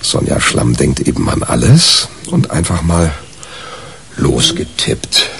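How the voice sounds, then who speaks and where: A man's voice: a bass voice, sing-song, takes it slowly, gravelly, fairly bright tone, exaggerated voice. An actor playing a wry, exasperated man in a 1980s radio crime drama, telling his tale dryly and hesitantly. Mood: wry recounting.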